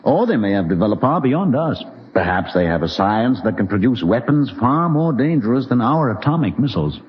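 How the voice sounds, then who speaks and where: Casting: man as fatalistic spaceship scientist, 1950s radio drama. Dry irony, formal musing, lively intonation, slightly dull vintage recording. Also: medium pitch, fairly quick, lightly acted. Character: brooding, sardonic male scientist, fatalistic doctor aboard spaceship. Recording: muffled vintage radio recording, faint noise.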